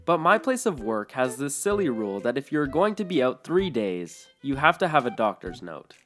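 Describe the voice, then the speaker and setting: A male channel host reads stories aloud with an animated, swinging pitch and an amused edge. Now and then he chuckles mid-sentence. A faint music bed runs under his voice. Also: normal speed. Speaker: story narrator. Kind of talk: reading online stories aloud.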